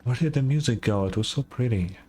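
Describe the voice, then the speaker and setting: A man with a middling pitch gives casual commentary on his own gameplay. He mutters his reactions and swears casually, with game music playing clearly behind him. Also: lively intonation, a little fast, a little mellow. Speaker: gamer narrating own playthrough.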